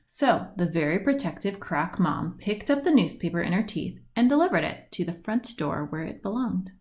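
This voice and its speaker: A woman reading a children's picture book aloud, with deliberate phrasing, stretched words and lilting lists. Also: on the low side, sing-song, darkish tone. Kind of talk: read-aloud storybook narration. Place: indoors at home, at a wooden table by a window.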